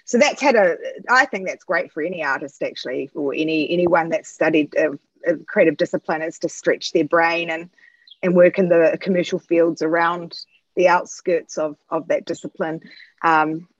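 A woman's voice: deep voice, normal speed, dry recording, lo-fi sound. A woman from New Zealand with a Kiwi accent, chatting as a podcast guest about her art work, with lots of fillers and restarts. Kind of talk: casual interview chat.

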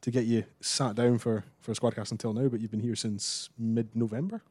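A man hosting a casual sports podcast, brisk and upbeat. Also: medium pitch, fairly even intonation, darkish tone, breathy edge, dry recording. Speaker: podcast host.

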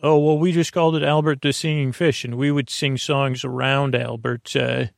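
An American man hosting a sleep podcast, delivering a halting, meandering bedtime monologue that drifts off on tangents. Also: mid-range voice, fairly even intonation, gravelly, no room sound, clean studio sound. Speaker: podcast host. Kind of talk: rambling bedtime monologue to help listeners fall asleep.

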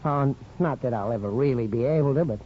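An actor playing a boyishly earnest, defensive young veteran son with hesitant starts, in a 1950s radio drama. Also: male voice, medium pitch, fast, natural voice. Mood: eager. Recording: muffled 1950s radio broadcast.

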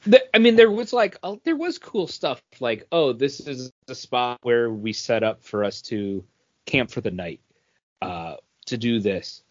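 A man with a mid-pitched, very animated voice chats casually as a comics podcast co-host. His pitch moves around a lot, and the audio is a little dull. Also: measured pace. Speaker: co-host of a comics review show. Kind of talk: casual chat, comic book review.

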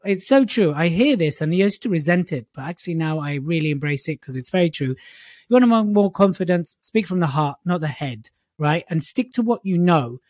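A man's voice: high voice, a little mellow, no echo, studio quality. A man co-hosting a podcast, giving emphatic motivational tips on public speaking and repeating key phrases for punch. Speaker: podcast co-host. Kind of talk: upbeat motivational tips chat.

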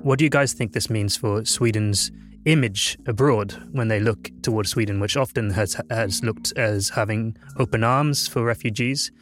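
Low-voiced man hosting a podcast. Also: moderate pace, faint music behind. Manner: questioning, loose phrasing with fillers. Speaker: podcast host. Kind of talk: podcast discussion.

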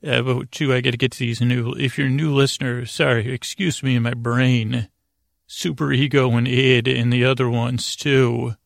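Male sleep-podcast host: flat intonation, gravelly fry, halting, meandering, low-key delivery. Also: no echo, studio quality. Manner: meandering, halting, frequently digressing and losing his thread; low-key, soothing, deliberately unengaging. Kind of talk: rambling sleep-podcast monologue.

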